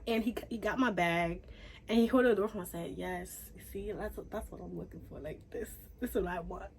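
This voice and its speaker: A young woman from Haiti chatting casually through a storytime, animated and full of fillers. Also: medium pitch, a little fast, background noise. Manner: chatty, animated, says "like" and "y'all" a lot. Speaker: YouTuber.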